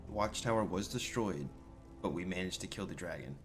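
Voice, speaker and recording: A man with a deep voice plays through a role-playing game. He comments casually in character in a low-key, musing way, with music clearly behind him. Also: animated, a little fast. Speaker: video game role-player.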